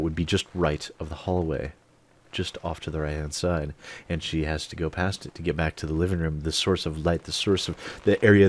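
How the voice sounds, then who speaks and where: A man in his late thirties, an animated storyteller who swears freely, telling a personal story straight to the mic. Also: sits low. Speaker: channel host. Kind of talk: storytime monologue.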